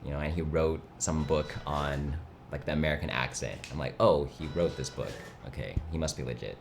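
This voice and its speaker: A young man whose first language is Mandarin, chatting casually on a livestream with a nasal, vocal-fry voice and a laid-back, rambling manner. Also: extremely low pitch, a little fast, a little hiss or hum. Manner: laid-back, rambling, reads chat aloud. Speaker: livestream host. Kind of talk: casual chat.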